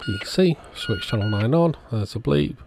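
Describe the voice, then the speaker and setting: A man's voice: mid-range voice, lively intonation, moderate pace, creaky, background noise. A man giving a casual, matter-of-fact workbench narration as the host of an electronics repair video.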